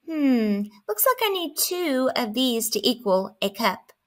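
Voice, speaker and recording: A woman's voice: high voice, big pitch swings, measured pace. A woman playing a grumpy, dramatic math skeptic who complains and exclaims in a children's storybook. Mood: musing.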